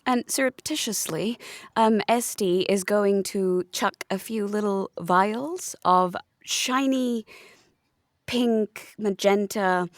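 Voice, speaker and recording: A woman casually improvising narration as a player in a tabletop game, halting as she describes. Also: mid-range voice, no echo, studio quality.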